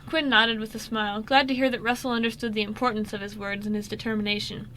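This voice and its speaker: A woman's voice: on the high side, flat delivery, quick pace, bright, forceful tone, rough recording. A woman reading scripted narration for an amateur radio drama, with small stumbles and self-corrections. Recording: faint background noise.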